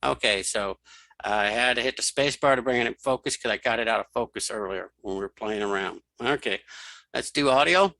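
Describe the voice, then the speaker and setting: A man giving a casual, step-by-step software tutorial, thinking aloud and talking through each keypress informally. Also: mid-range voice, a little fast, gravelly, no echo. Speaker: screen reader tutorial instructor.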